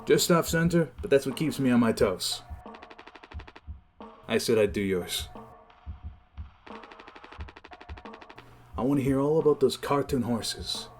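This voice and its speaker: An actor playing an affable, responsible spaceship captain in a game dub, with a casual, reassuring manner. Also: male voice, medium pitch, expressive, normal speed, faint music behind, lightly acted. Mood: playful teasing. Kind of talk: game fandub voice acting.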